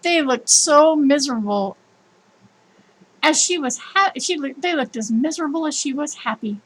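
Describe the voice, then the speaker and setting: A woman with a fairly high-pitched voice reads a scripted story. Her delivery is expressive and emphatic, in the manner of storybook narration. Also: moderate pace, low-quality recording. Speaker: storybook reader.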